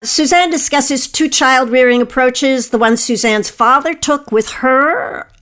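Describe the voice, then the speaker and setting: A woman's voice: high-pitched, unhurried, a bit bright. An American woman hosting an arts radio programme, reading prepared questions and announcements. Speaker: host.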